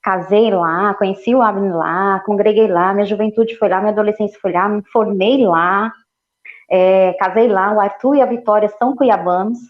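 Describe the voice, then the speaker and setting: Lively woman in her forties, lo-fi. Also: mid-range voice, moderate pace. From Ceará, Brazil, raised in São Paulo. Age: 40s. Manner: lively, laughs easily, repeats phrases. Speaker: nutritionist guest. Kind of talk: casual interview.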